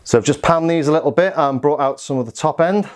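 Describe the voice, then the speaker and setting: A man's voice: on the high side, fast talker, clean tone. A man giving a casual product demonstration, informal and understated, thinking aloud as he goes. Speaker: synth reviewer and demonstrator.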